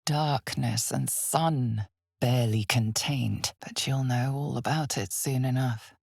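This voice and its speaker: Slow ominous fantasy game narrator, hushed and dramatic, bit of gravelly fry, really close dry sound. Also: female voice, rumbling low, no echo, exaggerated voice. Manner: measured, dramatic, hushed delivery.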